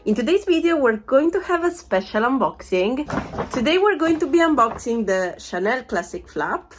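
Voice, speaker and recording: A woman's voice: sits high, very animated, normal speed, noisy background. A woman in her thirties chatting through a vlog unboxing, still getting over a cold, rambling with plenty of fillers and small laughs. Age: 30s. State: recovering from a cold, voice sounds off. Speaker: vlogger. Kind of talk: casual unboxing chat.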